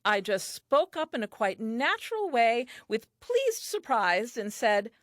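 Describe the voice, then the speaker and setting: An actress playing a talkative, eager and self-assured first woman telling her diary in a comic audio play, earnest and expressive. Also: a little high, a little slow, dry recording, clean studio sound. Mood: pleased, self-assured.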